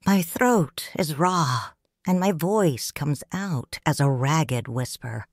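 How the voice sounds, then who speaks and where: A woman narrating a first-person audiobook story in a measured, solemn and expressive way. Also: sits low, no echo, clean studio sound.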